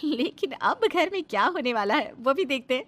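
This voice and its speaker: A woman's voice: high-pitched, expressive, normal speed, a bit bright. A woman as narrator, reading a scripted comic story.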